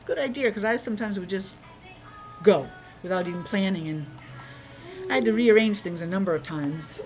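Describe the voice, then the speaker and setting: A woman's voice: mid-range voice, normal speed, faint music behind, poor audio. A woman reading a picture book aloud to young children as a teacher, breaking off for chatty asides, explanations and questions. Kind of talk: read-aloud storytelling with casual asides.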